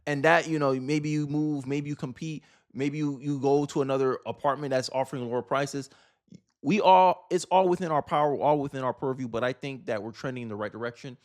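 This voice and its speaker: A man's voice: mid-range voice, a little fast, darkish tone. A man hosting a commentary show, talking casually and with opinions, repeating and rephrasing his points for emphasis. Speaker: host of a political commentary show.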